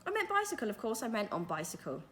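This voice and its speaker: Woman doing a jolly courteous old magician guy, bright lively voice, bedtime storybook. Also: on the high side, fast talker. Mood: apologetic. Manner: cheery, polite. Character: cheery polite old male magician teacher.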